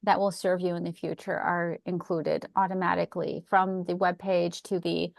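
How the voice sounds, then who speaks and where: A woman's voice: lowish voice, flat delivery, normal speed, gravelly, no room sound, studio quality. A woman on a live call eagerly explaining a membership offer, with some hesitations. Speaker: team member presenting.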